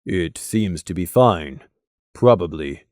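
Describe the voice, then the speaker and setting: A winged creature from a fantasy storybook, played by a man, speaks in a dropped, low pitch. His manner is careful and measured, reasoning tentatively. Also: animated, darkish tone, no echo, studio quality. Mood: cautious. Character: male winged companion creature, cautious and measured.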